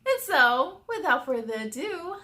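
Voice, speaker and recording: A woman's voice: high-pitched, very animated, unhurried, sharp tone. A woman hosting a children's story time, reading aloud expressively with encouraging, chatty asides to young viewers. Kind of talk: animated children's read-aloud.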